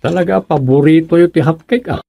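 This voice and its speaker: A man acting a sleepy, good-humoured village captain in an Ilocano radio comedy drama, bantering and musing with a husky, creaky voice. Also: fairly high pitch, a little fast, dark tone, no echo. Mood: cheerful, bantering.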